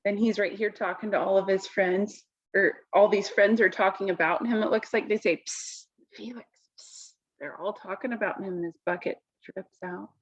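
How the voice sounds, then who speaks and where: A woman reading a picture book aloud to children, expressively, pausing to comment and ask simple questions. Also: mid-range voice, lo-fi sound. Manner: expressive, asks simple questions of young listeners. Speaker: storybook reader.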